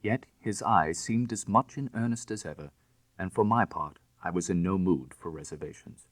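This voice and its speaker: A man narrating classic fiction in the first person, in a measured, literary and dramatic manner. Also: deep voice, no echo. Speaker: audiobook narrator.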